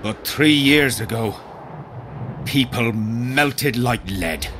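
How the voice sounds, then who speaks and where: An actor playing a grim, embittered, battle-hardened soldier in a video game, speaking gravely as if haunted. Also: male voice, medium pitch, animated, takes it slowly, fairly bright tone. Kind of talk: video game dialogue acting. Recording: clear ambient noise behind voice.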